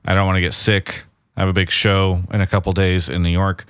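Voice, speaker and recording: A man's voice: low-pitched, flat delivery, fairly quick, fairly bright tone, smooth, no echo, clean studio sound. A man co-hosting a podcast from his apartment, chatting casually with upbeat, joking banter. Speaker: podcast co-host.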